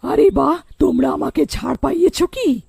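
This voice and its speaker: A hungry, wicked witch from a children's cartoon. The voice is high and thick with creak, dark in tone. It gloats and threatens with relish. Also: lively intonation, normal speed, no echo, studio quality, heavily acted. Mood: gloating menace. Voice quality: croaky, gravelly cackle. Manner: gloating, menacing. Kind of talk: children's cartoon villain acting.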